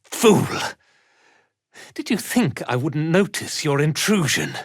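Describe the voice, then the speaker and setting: An actor playing an arrogant, cruel and fanatical cult commander in a dark fantasy video game, with a haughty, contemptuous, theatrical delivery. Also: male voice, a little high, sing-song, slow, breathy edge, no echo, studio quality, full character voice. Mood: furious.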